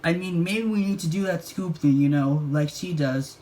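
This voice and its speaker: A man's voice: a little high, moderate pace, warm tone, pitched above the performer's own voice, lightly acted. A man voicing a timid, earnest, agreeable boy from a cartoon, with a hesitant, mild manner, in a storybook read-along. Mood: tentative.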